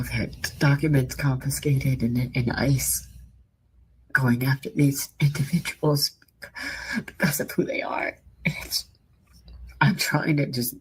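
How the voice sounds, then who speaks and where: A woman giving hushed, hesitant personal testimony in a played clip. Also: a bass voice, slight background noise, poor audio.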